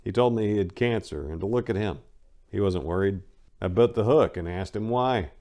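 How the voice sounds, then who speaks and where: A man narrating a first-person story as a dramatic reading, in a colloquial, expressive way. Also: lowish voice, moderate pace. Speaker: audiobook narrator.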